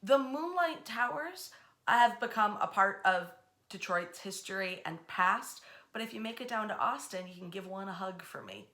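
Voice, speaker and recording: A woman's voice: mid-range voice, expressive, normal speed, pushed and bright. A woman hosting a history series, narrating expressively in a bedtime-story style and speaking directly to the listener.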